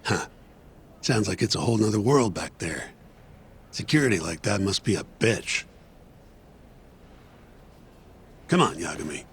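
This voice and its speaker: An actor playing a gruff, cynical male police detective who talks in a sarcastic, crude, dismissive way, in a game scene with music behind. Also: low voice, animated, normal speed, slight background noise. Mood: sardonic. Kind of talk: game dub acting.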